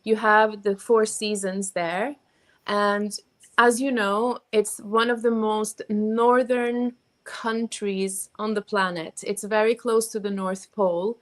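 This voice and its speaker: Norwegian-born woman guest, talks slow and measured. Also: medium pitch, steady tone. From Norway. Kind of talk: interview.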